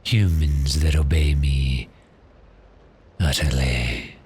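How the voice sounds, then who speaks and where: A suave, predatory male vampire, acted by a man for an ASMR roleplay, speaks in an extremely low voice. He draws his words out very slowly, with seductive, taunting menace and breathy phrase endings. Faint noisy ambience sits behind him. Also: fairly even intonation, a little mellow. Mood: gloating menace. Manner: slow, deliberate, seductive, taunting. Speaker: audio roleplay performer.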